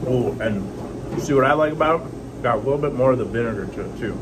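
Relaxed younger man chatting over food. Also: mid-range voice, fast, noisy background. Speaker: co-host filming. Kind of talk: casual chat. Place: small restaurant dining room, indoors. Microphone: phone camera at arm's length.

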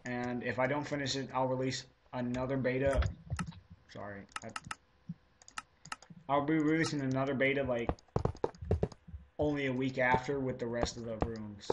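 Hoarse teen guy, noisy recording. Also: medium pitch, fairly even intonation, moderate pace, pauses often. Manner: rambling, chatty, self-interrupting. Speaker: video creator. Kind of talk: casual gameplay commentary.

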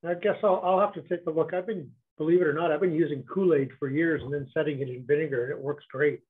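A man joins a casual video call as a participant with questions. His voice is husky and a little high, and the line sounds thin and phone-like. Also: steady tone, fast talker. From western Canada. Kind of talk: casual chat. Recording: tinny, narrow phone-like call audio.

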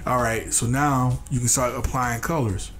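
Stuffy-nosed guy walking you thru a drawing app, mid pitch, lots of ums, faint music under him. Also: fairly even intonation, moderate pace, fairly bright tone. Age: adult, a father. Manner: loose and repetitive, restarting phrases, many fillers. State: congested, nasal. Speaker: art tutorial host. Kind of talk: casual screen-recorded drawing tutorial.

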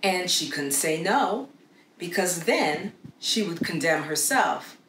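A middle-aged woman reading a children's story aloud with expressive, deliberate dramatic emphasis, recorded in a small room. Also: fairly low pitch. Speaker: storybook read-aloud narrator. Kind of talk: scripted narration, children's read-aloud story. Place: small plain room, indoors. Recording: roomy echo with clear background noise.

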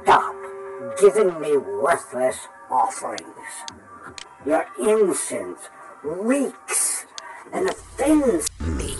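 A man giving a dramatic scripture reading through a voice changer. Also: fairly high pitch. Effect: voice-changer processing.

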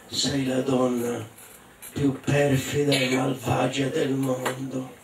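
A man voicing a dim, drunken storybook father who speaks in a slow, halting, weary mutter. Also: a little high, a little mellow. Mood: appalled. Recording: echoey room, distant mic, faint noise.

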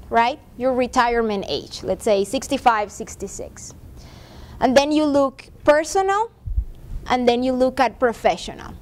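A woman's voice: high voice, a little hiss or hum. A woman in her forties presenting a professional development workshop to a seated class, talking conversationally and often checking in with "right?". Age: 40s. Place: classroom with a seated audience, projector screen. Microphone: far room camera.

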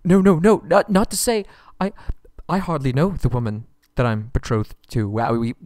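A man's voice: a little high, normal speed, a little mellow, dry recording, studio quality, natural voice. A man playing a nervous, submissive, polite young prince held captive, stammering and pleading in an ASMR roleplay audio drama. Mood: panicked, pleading.

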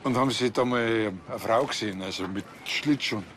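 A man's voice: mid-range voice, a little fast, fairly bright tone, a little hiss or hum, lightly acted. An actor playing a cheeky, laid-back small-town policeman with a Bavarian accent, speaking colloquially in a comedy series. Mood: casual, cheeky. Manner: colloquial, meandering.

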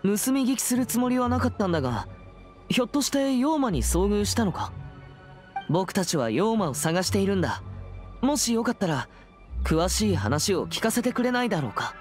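A man playing a serious, reserved teenage boy exorcist in a Japanese anime game, speaking formally and in a measured way. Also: high voice, big pitch swings, faint music behind. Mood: polite, earnest.

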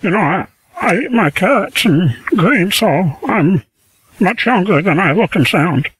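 A reedy, husky old codger, played by a man who wanders through his words and sounds a little lost. The voice rides high and breathy. The recording is dry and close. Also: lively intonation, measured pace, a bit bright, no room sound, full character voice, low-quality recording. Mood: rambling. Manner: rambling, plaintive, feigning confusion. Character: lonely bald old man caller. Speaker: scambaiter.

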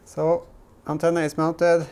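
A man's voice: on the high side, monotone, a little slow, darkish tone, clear, resonant tone. A man in his thirties giving casual, hesitant hands-on commentary, thinking aloud as he works in a garage workshop. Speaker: car DIY vlogger. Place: garage workshop and inside the back of a car.

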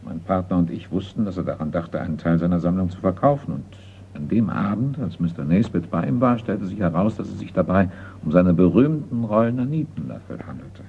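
An actor playing a cautious, formal art appraiser in a radio crime drama, speaking in a measured way. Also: male voice, low-pitched, animated, creaky. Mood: grave, measured. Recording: noisy, tinny old radio play.

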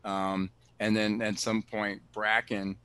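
A man with a fairly low voice answers questions over a video call. He explains patiently as a preserve director would. He halts with fillers and restarts his sentences. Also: level tone, takes it slowly, sharp tone, clear, resonant tone, no echo. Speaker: bat cave preserve director. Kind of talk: answering questions in an online talk.

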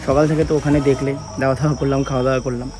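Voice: medium pitch, little pitch movement, very fast. A vlogger with a Bengali accent from West Bengal, chatting casually and repeating phrases while narrating the day. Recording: busy crowd chatter and music behind.

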